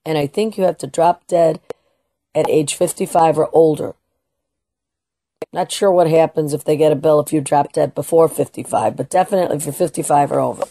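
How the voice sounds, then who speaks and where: A woman's voice: low-pitched, fairly even intonation, brisk, warm tone, no room sound, studio quality. A woman hosting a political talk radio show, delivering an emphatic, opinionated monologue with restarts and bits of Spanish. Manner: slips into Spanish phrases now and then.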